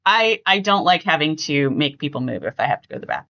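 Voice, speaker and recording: A woman's voice: sits low, big pitch swings, fast talker, sharp tone, highs cut off. A middle-aged woman hosting a podcast, chatting casually and emphatically with family. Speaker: podcast host.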